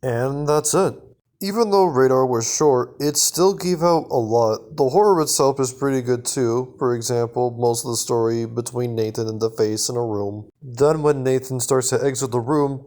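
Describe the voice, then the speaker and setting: A man's voice: medium pitch, normal speed, darkish tone. A man narrating a recap and review in a casual, semi-scripted style, as the presenter of a video.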